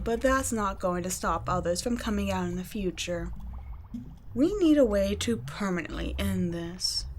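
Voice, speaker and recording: A cold, condescending female vampire scientist voiced by a woman for an ASMR audio drama, murmuring and whispering her taunts at a mid pitch. Her mockery stays quiet and close rather than loud. A faint hiss of noise sits under the voice. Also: natural voice. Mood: mocking. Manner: soft, often whispered, mocking and condescending.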